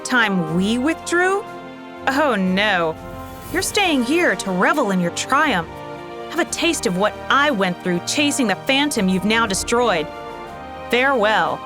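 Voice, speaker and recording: An actress playing a tough, vengeful soldier in a video game, with a terse, commanding, sardonic manner. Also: female voice, fairly high pitch, sing-song, takes it slowly. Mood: cold scorn. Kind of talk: video game cutscene acting. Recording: loud music behind the voice. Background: music.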